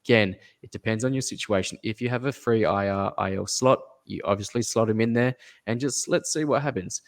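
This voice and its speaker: A man co-hosting a fantasy basketball podcast, giving measured advice with fillers and repeating his main points. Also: on the low side. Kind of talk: casual podcast analysis.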